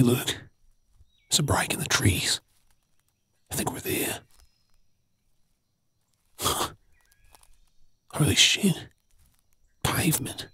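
Wary Australian male survivor, acted by a man for zombie ASMR drama: breathy, husky whispering in slow, terse phrases. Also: a little high, a bit bright, no echo, exaggerated voice. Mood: wary, urgent. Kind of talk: whispered ASMR role play.

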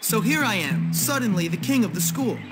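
A man's voice: high-pitched, expressive, brisk, music in the background, low-quality recording. A young man playing a cocky, sarcastic teenage boy who boasts that he rules his school, in a video game cutscene. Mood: smug narration.

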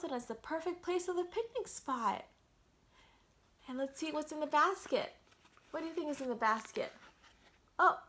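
A woman in her thirties reading a picture book aloud interactively, putting questions straight to young children. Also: very high voice. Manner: animated, questioning, addresses the child directly. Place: small quiet room at home.

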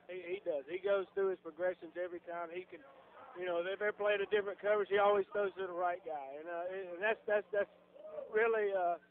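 Quick, high-voiced football coach, lo-fi. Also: male voice, steady tone. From Georgia, USA. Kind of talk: post-game radio interview. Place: locker room. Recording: muffled lo-fi radio broadcast recording.

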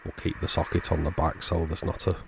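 Gravelly, very low-voiced man narrating repairs. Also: monotone, a little fast, noisy background. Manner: matter-of-fact, thinking aloud. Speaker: electronics repair YouTuber. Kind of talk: informal hands-on repair narration.

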